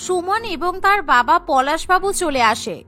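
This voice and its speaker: Bright-voiced woman narrating a cartoon story. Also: very high-pitched, expressive, normal speed, faint music behind.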